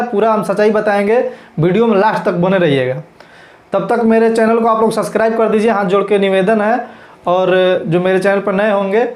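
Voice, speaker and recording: A man's voice: high voice, a little mellow. A man with a Bhojpuri-region Hindi accent giving informal, emphatic news commentary as a channel host, repeating his points as he explains. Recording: echoey, roomy sound.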